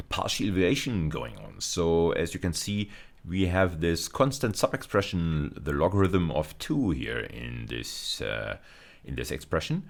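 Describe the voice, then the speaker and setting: A man giving a technical video lecture, explaining step by step in a halting way with frequent self-corrections. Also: very deep voice, gravelly. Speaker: university lecturer.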